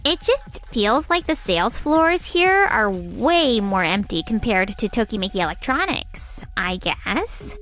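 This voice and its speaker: A woman playing a smug, spoiled young saleswoman in an animated manga dub, with dramatic casual phrasing. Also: a little high, a little slow, hardly any pauses. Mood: casual, uneasy.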